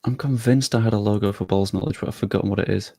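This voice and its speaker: A man's voice: on the low side, fairly even intonation, quick pace, gravelly, dark tone, no room sound, studio quality. A young man live-streaming, chatting casually with his viewers and thinking aloud as he works on screen.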